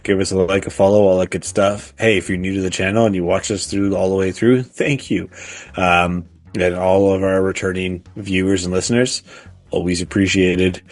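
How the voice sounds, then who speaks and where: Low-voiced man in halting podcast chat. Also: steady tone, no echo. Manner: halting, searching for words. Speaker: podcast co-host. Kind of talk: casual chat about video games.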